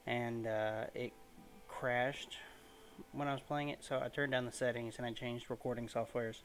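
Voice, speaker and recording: A man giving casual, low-key let's play commentary at home, keeping his volume down. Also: little pitch movement, moderate pace, soft background music. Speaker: gaming video host. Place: room at home.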